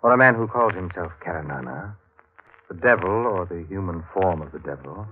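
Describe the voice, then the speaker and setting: Deep, sardonic painter, old radio. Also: male voice, lively intonation. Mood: wry, ominous. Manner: deliberate, theatrical, wry. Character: worldly, sardonic, enigmatic male bohemian painter. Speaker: supporting actor. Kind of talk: 1940s radio acting. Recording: muffled, noisy 1940s radio recording.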